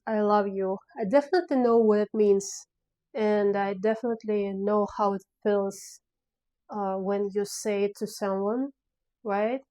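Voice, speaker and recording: A woman's voice: fairly high pitch, dark tone. A woman with a Russian accent, a non-native English speaker, talking through her filmmaking plans in a casual video diary, hesitant and searching for words. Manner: hesitant, many fillers and restarts, searching for words. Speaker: filmmaker vlogger.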